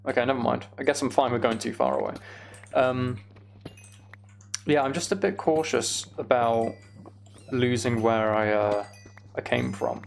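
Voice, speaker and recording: Male game streamer, British, gravelly fry in an average-pitched voice, offhand running commentary with dry asides. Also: steady tone, measured pace, long pauses. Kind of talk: casual Minecraft playthrough commentary, chatting with viewers.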